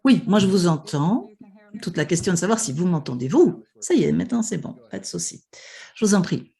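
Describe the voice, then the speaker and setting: A woman's voice: fairly low pitch, very animated, fairly quick, gravelly, warm tone, slightly rough. A woman interpreting a conference panel live, with many hesitations and restarts. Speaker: simultaneous interpreter for an online panel.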